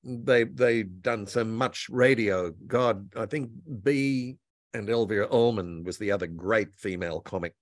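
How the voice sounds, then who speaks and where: An older man reminiscing casually as a guest, in a halting, meandering, enthusiastic way. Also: fairly low pitch, no room sound, clean studio sound. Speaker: guest voice actor and author. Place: indoor room at home, on a video call. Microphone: video call.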